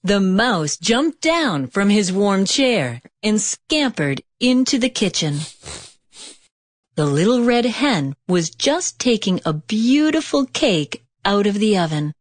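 A woman reads a children's storybook as narrator. Her delivery is unhurried and careful, with lively rises and falls in a dry, close recording. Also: medium pitch, no echo. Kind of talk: scripted read-along children's narration.

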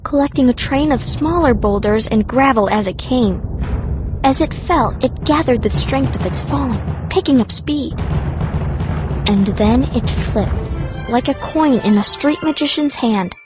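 A woman's voice: high voice, measured pace, music in the background. A woman narrating a fantasy audiobook in the first person, as a young girl mage telling her story.

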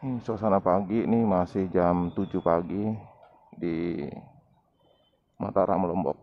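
A man's voice: sits low, steady tone, measured pace. A man narrating a casual vlog as he rides, giving unscripted running commentary in short phrases, recorded outdoors on a camera mic. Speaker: motovlogger. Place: outdoors on a motorbike in town streets. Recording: muffled lo-fi action camera, street noise.

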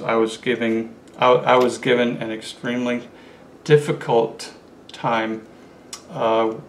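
A man in his forties talks eagerly to the camera while he holds up the items he collected. His medium-pitched voice bounces around a small, echoey room, with a faint hiss underneath. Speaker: collector vlogger. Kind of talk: casual show-and-tell vlog. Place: small indoor room with curtains.

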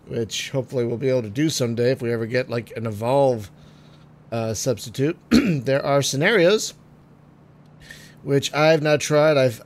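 A man getting over a cold, phlegmy and clearing his throat, chatting casually through a game with many ums and trailing remarks. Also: medium pitch. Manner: chatty, frequent ums and uhs, trailing off quietly. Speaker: gaming video host. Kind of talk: casual let's-play commentary.

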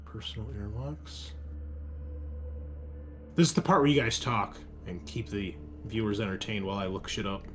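A man's voice: fairly low pitch, normal speed, long pauses, noisy background. A man hosting a tabletop game as game master, chatting casually in a blunt, joking way.

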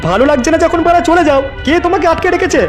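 A man's voice: very high voice, rapid-fire, over music. A man voicing a foul-mouthed, irritable cartoon father who scolds. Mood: irritated, scolding. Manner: cursing, scolding, exasperated. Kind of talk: comic cartoon dubbing.

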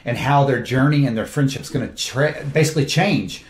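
A man, a film director, talks through his cast with eager, animated enthusiasm. His voice sits at a middle pitch and carries a roomy echo. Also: brisk. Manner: enthusiastic, animated storytelling with quoted asides. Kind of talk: casual interview talk.

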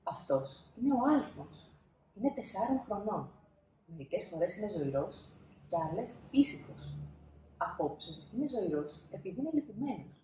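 A woman in her thirties narrating a children's storybook expressively, with dramatic pauses and emphasis. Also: medium pitch, big pitch swings, warm tone, slight background noise. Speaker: storybook reader. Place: small indoor room, seated. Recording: roomy indoor echo.

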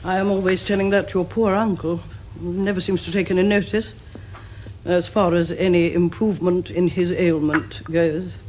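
An actress playing a grand, disapproving middle-aged society aunt with an upper-class English accent, pompous and precise. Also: female voice, fairly low pitch, level tone, normal speed, darkish tone, natural voice. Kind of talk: period stage comedy acting. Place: furnished studio drawing-room set. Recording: noisy old TV drama recording.

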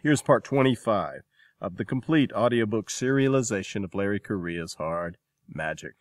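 A man's voice: fairly low pitch, expressive, moderate pace, no echo, clean studio sound. A man reading scripted narration as a program host.

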